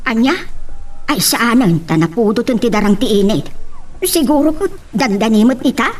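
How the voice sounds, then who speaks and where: A woman's voice: fairly high pitch, expressive, normal speed, darkish tone, faint music behind, close to an everyday voice. A woman with an Ilocano Filipino accent playing a chatty, caring older mother in a radio drama. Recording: slightly distant mic.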